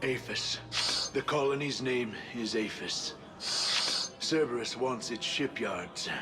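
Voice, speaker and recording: A man's voice: mid-range voice, takes it slowly, over music, lightly acted. Man voicing a reluctant, bargaining little alien. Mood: reluctant, resigned. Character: Volus, stout alien informant, reluctant, bargaining. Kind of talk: video game voice acting.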